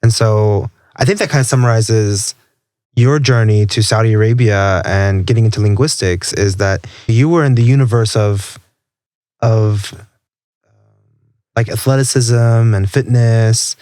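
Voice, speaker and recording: A man's voice: on the low side, darkish tone, dry recording. Man hosting a podcast, dry close sound. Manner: measured, leading questions, recaps guest's story. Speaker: podcast host. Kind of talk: interview hosting.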